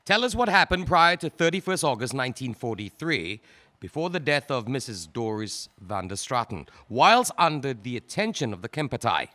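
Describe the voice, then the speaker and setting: A man acting a prosecutor in a 1940s court, questioning in a measured, formal way. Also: medium pitch, big pitch swings, pushed and bright. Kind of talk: radio drama acting.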